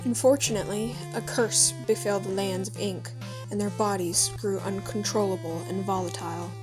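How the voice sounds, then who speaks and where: A woman giving a solemn, measured narration of a fantasy tale. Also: medium pitch, steady tone, poor audio. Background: music.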